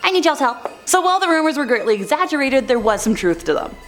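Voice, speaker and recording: A woman in her twenties narrates a countdown as its host, in a fairly high voice that swings widely in pitch. She mixes scripted reading with playful, slangy asides to the viewer. Music plays behind her. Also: big pitch swings, quick pace. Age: 20s. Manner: informal, playful, folksy slang. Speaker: host of a scary countdown video channel. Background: background music.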